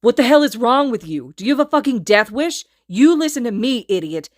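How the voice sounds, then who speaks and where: A woman's voice: a little high, big pitch swings, a little fast, dry recording, studio quality, pitched above the performer's own voice. A woman reading a story aloud, voicing a furious, foul-mouthed teenage boy hero who roars, snarls and swears.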